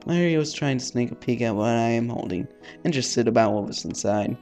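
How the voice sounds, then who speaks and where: A man's voice: mid-range voice, lively intonation, fairly quick, darkish tone, soft background music. A man hosting a let's-play, reading a visual novel aloud with casual asides and the odd stumble over words.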